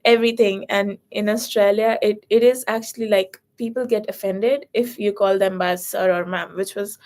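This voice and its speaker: A woman's voice: medium pitch, steady tone, normal speed, darkish tone. A woman chatting casually, talkative, with many likes and ums. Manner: talkative, lots of "like" and "um". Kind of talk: casual chat.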